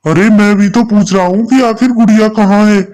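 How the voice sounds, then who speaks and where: A woman voicing a male crow, a father who talks slowly and sternly. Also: mid-range voice, fairly even intonation, dark tone, pitched below the performer's own voice, natural voice. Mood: questioning. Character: kalu the crow, a gruff worried father.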